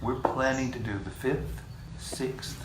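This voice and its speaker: A man's voice: medium pitch, fairly even intonation. Man reading scripture in an echoey room. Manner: measured reading, joking between passages. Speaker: scripture class reader. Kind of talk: reading scripture aloud to a class. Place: room with a live group. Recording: echoey room, distant mic, faint hiss.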